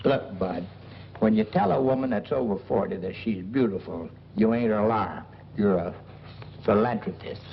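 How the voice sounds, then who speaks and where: An actor playing a boastful, wheedling small-time schemer in an old film comedy, with folksy, ungrammatical talk. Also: male voice, fairly low pitch, moderate pace, creaky. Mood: boastful, cocky. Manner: folksy, ungrammatical, blustering bragging. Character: boastful, wheedling boarding-house man, middle-aged, small-time schemer. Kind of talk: 1940s film comedy acting. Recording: muffled, noisy old film soundtrack.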